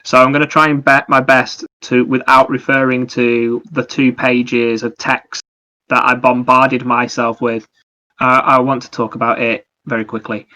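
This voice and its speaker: A man's voice: mid-range voice, flat delivery, normal speed, sharp tone, rough recording. A man co-hosting a podcast, talking casually and at length about video games in a wordy, digressive way. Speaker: podcast co-host. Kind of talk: casual video game review chat.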